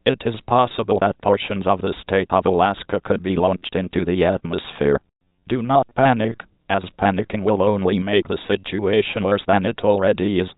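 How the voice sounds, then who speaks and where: A man's voice: deep voice, fairly even intonation, normal speed, no room sound. A man's synthetic-sounding voice reading a scripted civil emergency announcement in a formal, impersonal manner. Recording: muffled phone-like narrowband audio.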